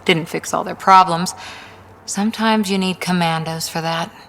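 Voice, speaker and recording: A woman's voice: fairly low pitch, fairly even intonation, normal speed, vocal fry, a bit bright, a little hiss or hum. A woman playing a tough, disciplined soldier in a video game, speaking in a clipped, matter-of-fact way. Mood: dry, composed. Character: tough, disciplined female human soldier, dryly wry. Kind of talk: video game dialogue acting. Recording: long reverb.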